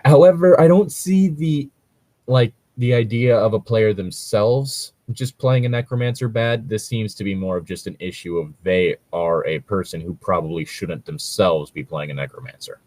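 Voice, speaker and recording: Low-voiced man in casual, halting commentary. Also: a little mellow, dry recording. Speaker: podcast host reacting to read-aloud Reddit posts.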